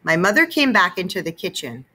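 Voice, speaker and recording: A woman narrating a children's story aloud in an expressive read-aloud style. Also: on the low side, brisk.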